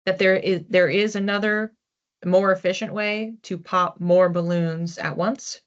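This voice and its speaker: A woman's voice: on the low side, fairly even intonation, measured pace. A woman giving a psychic reading, musing aloud and often repeating words as she searches for what comes through. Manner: hesitant, repeats words while searching for images. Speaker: psychic reader. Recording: dull lo-fi recording.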